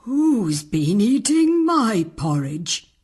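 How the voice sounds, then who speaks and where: A woman voicing a prim, easily shocked mother bear in a storybook, with a breathy voice. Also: medium pitch, very animated, extremely slow, a little mellow, full character voice. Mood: alarmed.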